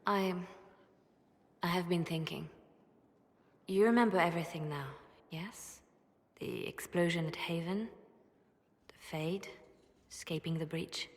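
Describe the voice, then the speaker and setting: A woman playing a loyal, haunted former spymaster in a video game, halting and hushed, as if grieving. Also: fairly low pitch, big-room sound. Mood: haunted, searching. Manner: slow, halting, hushed and breathy. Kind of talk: dramatic video game acting.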